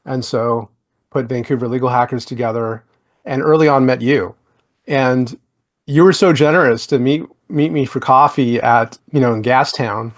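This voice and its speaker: Guy hosting a podcast, mid-pitched voice, friendly and chatty, lots of "like" and "you know". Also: fairly even intonation, moderate pace. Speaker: podcast host. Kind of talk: casual interview chat.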